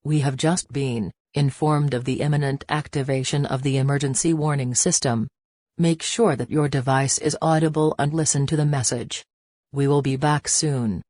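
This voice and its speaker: A woman's voice: rumbling low, fairly even intonation, normal speed, darkish tone, no echo. A woman presenting a scripted radio news bulletin in a formal manner.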